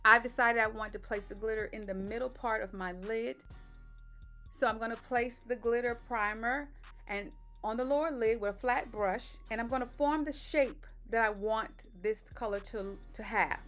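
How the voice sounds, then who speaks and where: Raspy-voiced woman narrating a makeup tutorial. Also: fairly high pitch, moderate pace, soft background music. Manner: relaxed step-by-step instructing. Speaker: beauty vlogger. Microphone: voice-over mic.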